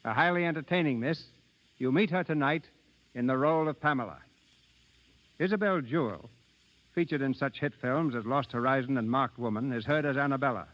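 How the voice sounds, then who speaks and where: A man hosting a radio theatre, reading scripted 1930s narration in a formal, measured announcing manner. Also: medium pitch, dry recording. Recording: muffled 1930s radio broadcast.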